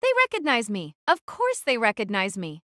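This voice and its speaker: A woman's voice: a little high, wildly swooping pitch, normal speed, pushed and bright, no echo, studio quality, full character voice. A woman playing a guarded, wary teenage girl archer who narrates her own story in an audio drama. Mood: suspicious, guarded.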